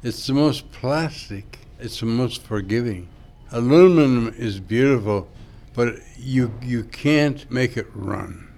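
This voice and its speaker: An older man whose first language is Greek, a sculptor musing slowly and thoughtfully about his work. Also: medium pitch, gravelly, darkish tone. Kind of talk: interview reflection.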